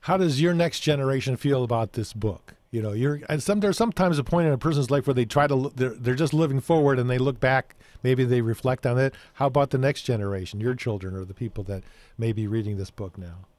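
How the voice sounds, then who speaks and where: A man hosting a radio interview, asking open questions in a measured way. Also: mid-range voice, a little mellow, no echo. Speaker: radio host.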